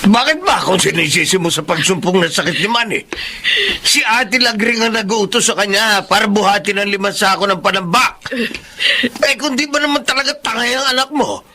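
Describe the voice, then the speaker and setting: An actor playing a callous, defensive husband with vices in a Filipino radio drama, brash and dismissive. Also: male voice, high voice, fairly quick, sharp tone, a little hiss or hum. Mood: defensive, irritated.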